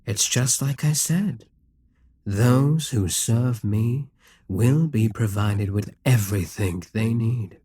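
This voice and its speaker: A man's voice: mid-range voice, slow, warm tone, slightly rough, no room sound. A man voicing a possessive, scheming male demon king in an audio roleplay, teasing and condescending.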